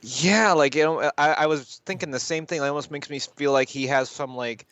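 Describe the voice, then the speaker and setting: A man's voice: a little high, normal speed, a bit bright, dry recording. Blunt man co-hosting, dry sound. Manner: blunt, profane, exasperated interjections. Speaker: podcast co-host. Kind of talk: casual chat, reacting to news.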